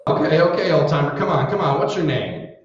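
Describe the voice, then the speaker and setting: A man's voice: fairly high pitch, animated, fairly quick, lightly acted. A man playing a boastful, blustering, folksy husband in a 1940s-style radio comedy. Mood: brisk. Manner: blustery, chatty, theatrical. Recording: very echoey stage, distant dull mic.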